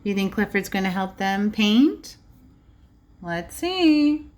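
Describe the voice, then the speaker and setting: A woman's voice: mid-range voice, sing-song, takes it slowly, a little mellow. A woman preschool teacher reading aloud to young children, adding simple explanations and checking in with questions. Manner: simple child-directed phrasing.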